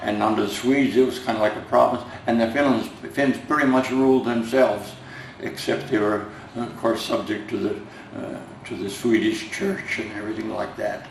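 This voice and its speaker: A man's voice: lowish voice, steady tone, moderate pace, vocal fry. An older man with a Finnish-American accent, Finnish as his first language, reminiscing hesitantly in an oral history interview, with many fillers and restarts. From United States. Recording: roomy, distant, noisy home recording.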